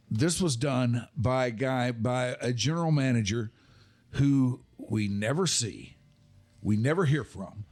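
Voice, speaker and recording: A man's voice: medium pitch, a little mellow. An older man hosting a podcast, talking sports in a casual interview style. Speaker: podcast host.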